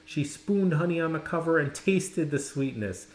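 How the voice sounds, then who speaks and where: A man narrating a children's storybook expressively, with dramatic, deliberate phrasing and pauses. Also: a little high, expressive. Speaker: storybook reader. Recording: roomy, echoing indoor sound.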